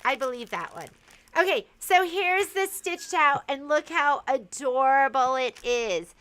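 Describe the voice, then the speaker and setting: A woman's voice: high-pitched, expressive, a little slow, a bit bright. A woman hosting a casual livestream from a sewing shop, chatty and teasing, giggling as she shows products.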